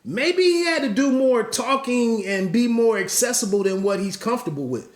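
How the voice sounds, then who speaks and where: A man's voice: very high-pitched, lively intonation, normal speed, echoey room. A man hosting a podcast, giving solo boxing commentary in a rambling way with many restarts and asides.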